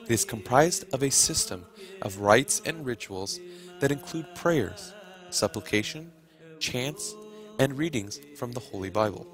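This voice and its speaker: A man reading a scripted documentary narration in a calm, deliberate way. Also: mid-range voice. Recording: music clearly under the voice.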